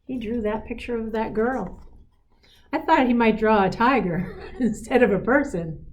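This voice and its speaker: A woman reading a children's picture book in an expressive, encouraging manner, with chatty asides to young listeners. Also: fairly high pitch, normal speed, muffled recording. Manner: encouraging, explains pictures and asks listeners questions; expressive, emphatic storybook delivery. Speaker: school superintendent reading to children.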